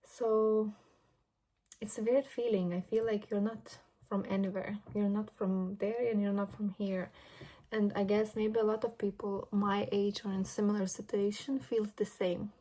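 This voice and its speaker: Earnest woman with a mellow voice. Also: mid-range voice, flat delivery, poor audio. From Lithuania. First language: Lithuanian. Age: late 20s. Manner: earnest, personal, unhurried with fillers. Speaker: vlogger. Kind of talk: reflective vlog monologue. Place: grandmother's home indoors.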